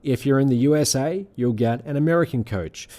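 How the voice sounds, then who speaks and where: A man reading a scripted sales pitch as presenter, in an earnest, measured, persuasive manner. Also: mellow. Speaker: presenter of an online money-making sales pitch.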